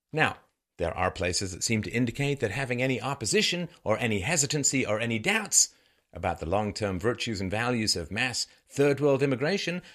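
Man, slightly low-pitched, forceful bright tone, sarcastic commentary, theatrical emphasis, rhetorical. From born in Ireland, grew up in England. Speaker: host of a political commentary show. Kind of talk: opinion commentary monologue.

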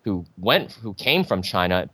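A man calling in to a show, agreeing readily and speaking haltingly with many restarts. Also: low-pitched, very animated, fairly bright tone, no echo. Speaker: caller. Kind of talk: call-in phone conversation.

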